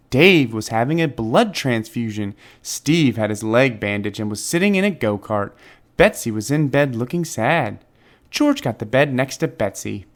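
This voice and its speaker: Male narrator, children's storybook reading, medium pitch, highly varied intonation, deliberate expressive phrasing. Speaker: storybook reader.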